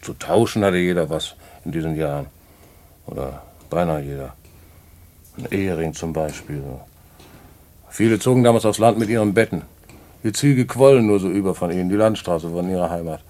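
A man's voice: sits low, moderate pace, long pauses, dark tone, lightly acted. An actor playing a worldly former racketeer, telling his story in a knowing, patronising way in a radio play. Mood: knowing, lecturing. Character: worldly male ex-racketeer fondly recounting his schemes. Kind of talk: radio play narration in dialogue.

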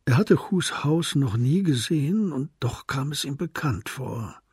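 Male narrator for radio crime drama: mid-pitched, very breathy and husky, scripted storytelling. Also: animated, measured pace, darkish tone, dry recording, clean studio sound.

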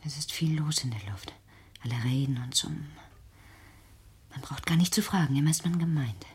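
A woman acting in a radio play as a fearful, accusing, reclusive grown daughter, brooding and intense, with a breathy, whispery voice. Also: deep voice, normal speed, darkish tone, slight background noise, natural voice. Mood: hushed, eerie.

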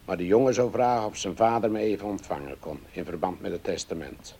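An actor playing a humble, aggrieved ferryman in a radio play, halting and deferential in a plain-spoken way. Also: low voice, dark tone, breathy edge. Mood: baffled, indignant. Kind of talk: Dutch radio play acting.

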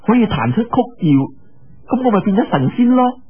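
Muffled audio, male storyteller as spirited young swordswoman, Cantonese accent, raised pitch, coy, playful. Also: sing-song, normal speed, slightly rough, full character voice.

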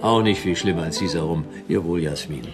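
A man's voice: sits low, fairly quick, music in the background. A man playing a world-weary private detective in a radio drama, speaking in dry, laconic, clipped phrases. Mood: wry. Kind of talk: noir radio drama narration and dialogue.

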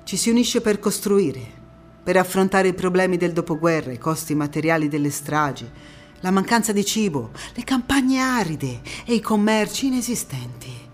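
An actress playing a proud, spirited young female parliamentarian recounting memories in an expressive, emphatic stage monologue. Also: lowish voice, natural voice. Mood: grave, measured. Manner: expressive, deliberate, emphatic phrasing. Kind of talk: theatrical first-person monologue.

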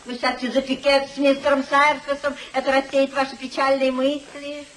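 An actress playing a grand, gushing aristocratic society hostess in a 1950s radio comedy of manners. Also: female voice, high-pitched, flat delivery, a little fast, a bit bright, slight background noise. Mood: gracious commanding. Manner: effusive, grand. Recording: 1950s radio recording, audience audible.

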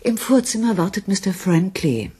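An actress playing an assertive, indignant woman scientist in a radio play, low and husky, with a formal, sharp-tongued manner. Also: big pitch swings, moderate pace. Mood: firm, insistent. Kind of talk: 1980s German radio play acting.

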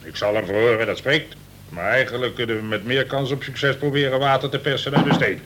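A man playing an older prison governor, a colonel who speaks in a measured, formal and wry way, in a Dutch radio play. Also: lightly acted. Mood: wry authority. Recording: noisy old radio recording with rumble.

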